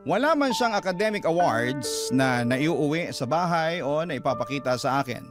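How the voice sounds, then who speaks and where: A man's voice: on the high side, lively intonation, normal speed. A man with a Filipino accent narrating a listener's letter as a scripted radio drama. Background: music.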